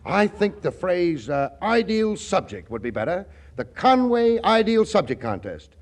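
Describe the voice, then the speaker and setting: Pompous big-shot boss, old radio comedy, voice swings all over, grandiose. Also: male voice, high-pitched, sing-song, measured pace, heavily acted. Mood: grandiose, excited. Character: self-important, bombastic male boss of a success school. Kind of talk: 1940s radio comedy acting.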